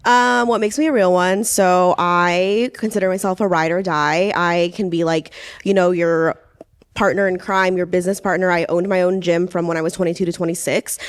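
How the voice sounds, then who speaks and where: A woman's voice: fairly low pitch, steady tone, moderate pace, smooth. A woman in her thirties, a dating show contestant, talking in a chatty way with many fillers. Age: 30s. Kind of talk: casual dating show talk.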